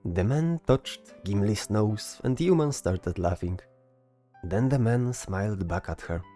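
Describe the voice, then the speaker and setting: A man's voice: fairly low pitch, animated, a little slow, mellow. A man reading scripted narration for a fantasy story as its narrator. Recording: music behind the voice. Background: music.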